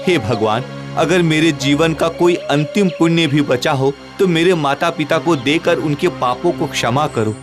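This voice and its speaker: A kind, devout young villager played by a man with a fairly high voice. He speaks earnestly, pleading and moralizing like the hero of a cartoon fable. Loud music plays behind him. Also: moderate pace, few pauses, natural voice. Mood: anguished prayer. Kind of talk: Hindi animated moral story dubbing.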